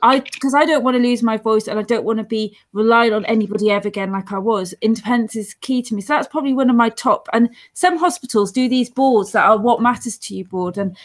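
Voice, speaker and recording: A woman's voice: a little high, quick pace, hardly any pauses. Earnest rambling woman on a call. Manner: rambling, restarts often, earnest storytelling. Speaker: guest on support show. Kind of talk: casual video-call chat. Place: dim room at home. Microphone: earphone headset on video call.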